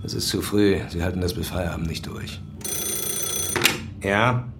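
Audio drama: shrewd, unruffled superintendent, low and terse, noisy ambient backdrop. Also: male voice, measured pace, vocal fry. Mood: cool, dry. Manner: dry, terse, authoritative. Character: cool, shrewd police superintendent, unflappable, calculating. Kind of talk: German audio drama acting.

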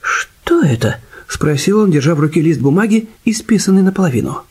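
An actor playing a composed, observant detective with a husky voice in a radio play, also narrating. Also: male voice, sits high, lively intonation, a little fast, a little mellow. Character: composed, observant male detective, sparing with words.

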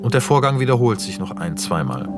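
A man's voice: mid-range voice, very animated, a little fast. A man narrating scripted stage directions for a radio play in a precise, matter-of-fact way. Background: music.

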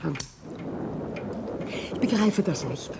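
An actress in a German radio crime drama playing an anxious, distrustful wife, pleading and probing. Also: female voice, mid-range voice, animated, takes it slowly, gravelly. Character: anxious, distrustful wife questioning her husband. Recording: noisy old mono radio recording.

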